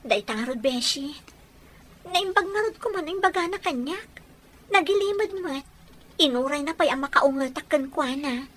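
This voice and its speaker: A woman's voice: sits high, expressive, normal speed, fairly bright tone. A woman with an Ilocano accent playing an insistent, caring young fiancée in a radio drama. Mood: troubled.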